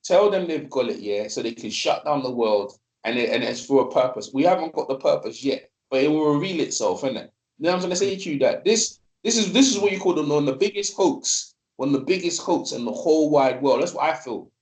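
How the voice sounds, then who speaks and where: Brief: male host, higher-pitched, rambling and repetitive, casual stream chat. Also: brisk, rough recording. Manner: rambling, repetitive, animated conspiracy talk.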